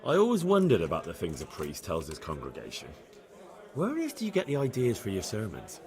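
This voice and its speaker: An actor playing an earnest, plain-spoken young medieval commoner in a video game cutscene. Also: male voice, on the low side, huge pitch swings, fairly quick, darkish tone. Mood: curious. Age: young adult.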